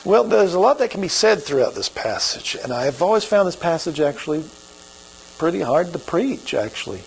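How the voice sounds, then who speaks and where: A male pastor with an Oklahoma accent speaks in a folksy, conversational sermon. His voice sits a touch high and carries a gravelly rasp. A faint hiss of noise lies under the recording. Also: sing-song, normal speed, rough recording.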